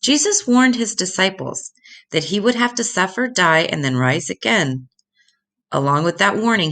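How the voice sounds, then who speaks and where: An older woman reading Bible stories aloud to children in a deliberate, instructive way, addressing them directly. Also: low voice, a bit bright.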